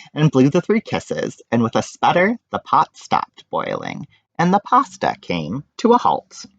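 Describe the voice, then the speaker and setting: Animated man reading a children's picture book. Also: medium pitch, measured pace, gravelly, no echo, lo-fi sound. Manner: expressive, informal asides on the pictures. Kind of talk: animated children's read-aloud.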